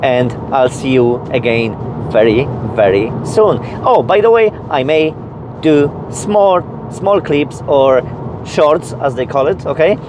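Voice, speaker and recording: Slow-talking Polish-accented man, noisy background. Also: medium pitch, lively intonation. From Poland. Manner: halting, repeats and rephrases phrases. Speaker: YouTube vlogger. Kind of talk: casual storytelling and chat to viewers.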